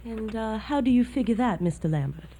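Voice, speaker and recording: An actress playing a proud, sorrowful young Southern wife in a radio drama. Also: female voice, fairly high pitch, animated, fairly quick, dark tone, slight background noise, close to an everyday voice. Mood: defiant.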